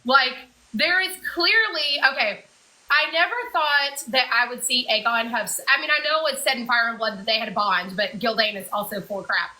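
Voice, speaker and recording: High bright excitable woman. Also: normal speed, poor audio. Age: 30s. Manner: excitable, gushing. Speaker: podcast co-host. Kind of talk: casual live chat. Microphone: video call.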